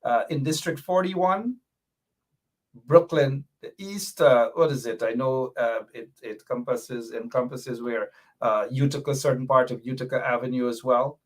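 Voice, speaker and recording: A man's voice: mid-range voice, fairly even intonation, normal speed. A male talk show host wrapping up with a guest, loose and filler-heavy, calling on viewers by name. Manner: rambling, lots of fillers, calls out viewers by name. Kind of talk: casual talk show hosting.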